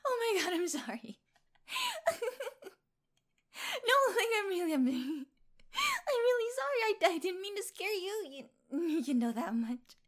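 A woman acting in a solo audio roleplay as a teasing, apologetic woman, halting, giggly and coaxing in manner. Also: high-pitched, lively intonation.

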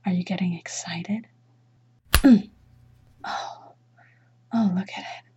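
A woman performing a dominant mistress roleplay in slow, teasing whispers, with a breathy voice and a commanding manner. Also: medium pitch, fairly even intonation, highs cut off, slight background noise. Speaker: erotic audio roleplay performer.

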